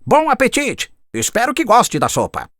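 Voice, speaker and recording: Sly, polite fox for a children's cartoon, acted, Brazilian accent, mid-pitched voice with huge theatrical swings. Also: wildly swooping pitch, normal speed. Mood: cheerful, eager. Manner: exaggerated, theatrical delivery with big swoops. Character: sly, cheerful, polite fox neighbour, fond of eating.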